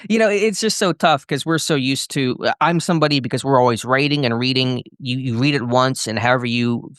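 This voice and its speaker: A man's voice: mid-range voice, a little fast, a bit bright, smooth, no room sound, studio quality. An American man co-hosting a sports podcast, giving fluent, informative analysis in a conversational style.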